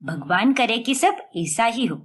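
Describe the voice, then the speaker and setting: A woman's voice: fairly high pitch, expressive, unhurried, slightly rough. A woman voicing an insistent, scheming young sister-in-law in a dramatic cartoon family melodrama. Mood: plotting.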